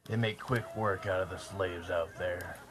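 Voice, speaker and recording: A man's voice: low voice, fairly even intonation, measured pace, crowd noise. A man playing a jaded, mocking, fatalistic slave gladiator in a radio drama. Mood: wry, fatalistic. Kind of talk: old-time radio drama acting.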